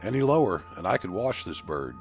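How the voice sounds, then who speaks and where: A cool, confident fighter pilot , played by a male narrator, reports in a low voice. His phrasing is clipped military radio talk, in an audiobook thriller with music behind him. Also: sing-song, fairly quick.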